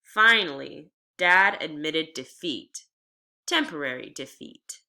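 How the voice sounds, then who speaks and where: Animated woman reading a story, bright voice. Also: deep voice, gravelly. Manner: animated, deliberate storytelling delivery. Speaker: teacher reading aloud to students. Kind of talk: expressive read-aloud of a children's memoir.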